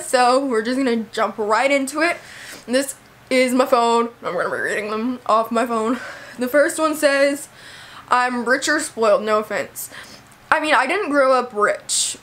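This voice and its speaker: Young woman, high voice, hoarse with a cold, casual chatty vlog. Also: fairly bright tone. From Texas, USA. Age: young adult. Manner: chatty, lots of "like" and "you know". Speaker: vlogger. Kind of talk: casual talk to camera.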